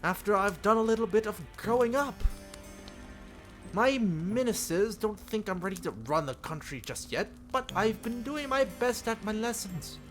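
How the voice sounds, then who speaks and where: A man's voice: high voice, lively intonation, normal speed, a bit bright, soft background music, pitched above the performer's own voice. A man voicing a cheerful, sheltered young princess in a visual novel, with an airy, regal, slightly formal manner. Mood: cheerful, eager. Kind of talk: visual novel character read-aloud.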